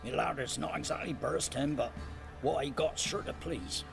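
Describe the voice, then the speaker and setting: An actor playing a rough, friendly male village merchant in a game. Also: mid-range voice, moderate pace, natural voice. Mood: offering. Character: gruff male village merchant offering wares. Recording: game audio with music behind.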